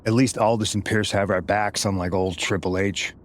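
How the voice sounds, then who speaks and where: A man playing a wry, grudge-holding veteran pro wrestler in a video game cutscene, speaking in a measured way. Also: lowish voice, steady tone, close to an everyday voice. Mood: wry, resentful.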